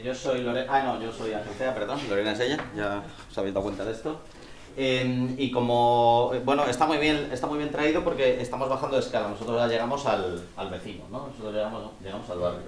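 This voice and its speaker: A man's voice: mid-range voice, normal speed. A man with a Spanish accent from Spain giving an informal conference presentation as an architect, colloquial and self-interrupting, with joking asides.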